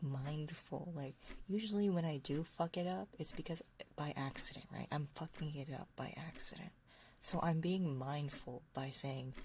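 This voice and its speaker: A woman with a deep voice and a noticeable vocal fry chats softly as an ASMR livestream host. She often drops to a whisper, very close to a binaural microphone. A faint layer of noise sits behind her. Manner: soft-spoken, hushed, slipping into whispers. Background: faint noise, soft clicks of a ticking clock.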